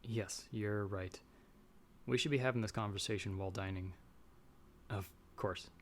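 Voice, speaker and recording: A man's voice: lowish voice, fairly even intonation, moderate pace, a little hiss or hum, close to an everyday voice. A man playing a humble young farmer's son in an audio roleplay, speaking hesitantly with courteous, old-fashioned formal phrasing. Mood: hesitant, polite. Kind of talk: ASMR audio roleplay acting.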